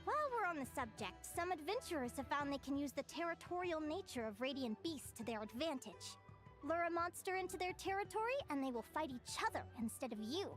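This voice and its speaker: Bubbly young guild advisor. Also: female voice, high voice, a little fast, soft background music. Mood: lecturing. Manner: lively lecturing, gushing enthusiasm. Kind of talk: game voice acting. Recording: game soundtrack behind.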